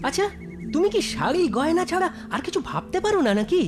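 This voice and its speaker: Bold, scheming village husband for kids' cartoon, played by a woman, hugely animated delivery, faint music underneath. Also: extremely high pitch, fast talker. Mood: musing, playful. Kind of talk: animated cartoon dialogue for children.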